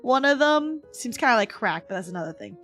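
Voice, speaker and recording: A woman livestreamer with a hoarse, cracking voice, chatting casually with her viewers in a playful, giggly manner. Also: a little high, big pitch swings, fairly quick, a bit bright. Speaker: livestream host, VTuber. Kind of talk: casual livestream chat, reading and answering chat messages. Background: background music.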